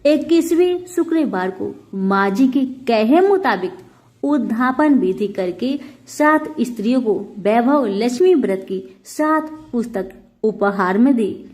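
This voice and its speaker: A woman reading a scripted devotional story as a storyteller, in a measured, reverent manner. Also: a little high, expressive, a little mellow.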